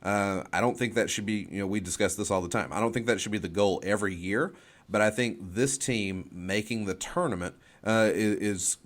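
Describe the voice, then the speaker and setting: A man co-hosting a sports podcast, giving opinions and game picks in casual talk, halting and repeating phrases as he reasons. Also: on the low side.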